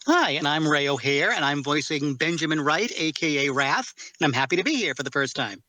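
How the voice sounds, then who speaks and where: A man's voice: fairly high pitch, lively intonation, a little fast, sharp tone, no room sound. A man who is a voice actor, introducing himself casually. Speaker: voice actor, newcomer to the cast.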